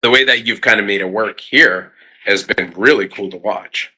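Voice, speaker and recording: A man's voice: fairly low pitch, normal speed, pushed and bright. A man in a casual conversation, talking as a panelist on a gaming show. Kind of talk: casual chat.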